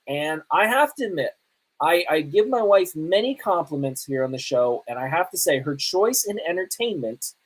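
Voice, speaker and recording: A man's voice: sits high, breathy edge, poor audio. A man hosting a podcast, talking casually in a rambling, conversational way and often correcting himself. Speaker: podcast host. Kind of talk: casual live podcast talk and reviews.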